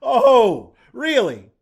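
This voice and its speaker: An actor playing an earnest, friendly young rebel leader in a video game, speaking in a sincere, conversational way. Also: male voice, very high voice, heavily acted. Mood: amused. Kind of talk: video game character dialogue.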